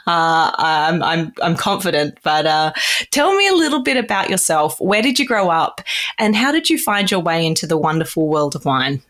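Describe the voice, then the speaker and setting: A New woman hosting a podcast interview, friendly and quick to laugh. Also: low voice, sing-song. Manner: warm, laughs easily. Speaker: podcast host.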